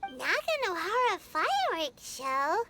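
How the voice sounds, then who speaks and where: A woman's voice: extremely high pitch, very animated, slow pace, exaggerated voice. A woman voicing a tiny, curious and blunt childlike fairy companion in a video game, speaking in exclamations. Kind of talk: video game character acting. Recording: music behind the voice.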